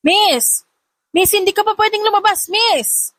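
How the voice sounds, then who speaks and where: An anxious, caring hospital nurse in an audio drama, put on by a female narrator. Her voice is raised very high and urgent, with a dry, close sound. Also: measured pace, fairly bright tone, no room sound. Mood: alarmed.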